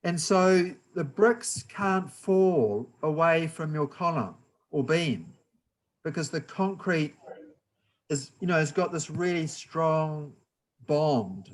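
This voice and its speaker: A man with a New Zealand accent giving a guest lecture on building structures, explaining slowly and patiently over a video call. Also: a little high, animated, low-quality recording. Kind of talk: university lecture, slow and deliberate.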